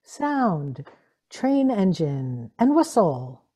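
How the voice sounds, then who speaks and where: Slow, mellow woman reading sound cues. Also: on the low side, very animated, highs cut off. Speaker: sound effects reader. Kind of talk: flat read-out sound cues in live radio play.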